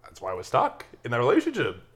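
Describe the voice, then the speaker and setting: A man's voice: medium pitch, huge pitch swings, fast. A man who hosts a podcast, talking in a laid-back, reflective way with casual swearing. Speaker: podcast host. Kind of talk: casual conversational chat.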